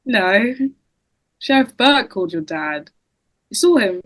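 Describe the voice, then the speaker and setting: A woman's voice: medium pitch, animated, slow, a bit bright. A woman playing a wary, suspicious teenage girl in an amateur table read of a drama.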